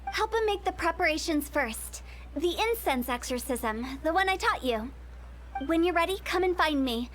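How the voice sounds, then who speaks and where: A woman's voice: high-pitched, lively intonation, moderate pace, slight background noise, low-quality recording. A woman voicing a playful young female funeral parlor director in a video game, breezy and teasing as she explains things. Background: game music.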